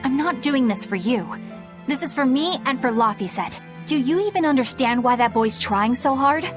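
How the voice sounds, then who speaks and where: High-voiced, prickly, exacting young woman. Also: animated, normal speed, music in the background. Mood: indignant. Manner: formal, exact, insistent. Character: precise, earnest, methodical young woman, prickly. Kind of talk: video game acting.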